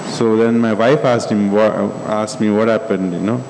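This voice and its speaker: A middle-aged man on a stage panel, speaking calmly and in a measured way into a handheld mic. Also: lowish voice. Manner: calm, measured explaining. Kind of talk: panel discussion. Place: large stage hall. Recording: echoey hall with faint hum.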